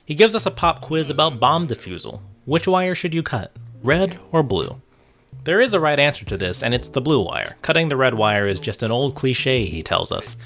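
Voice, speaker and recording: A man's voice: mid-range voice, big pitch swings, normal speed, fairly bright tone. A man narrating a scripted video essay about games in a wry, conversational way, with asides to the viewer. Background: music.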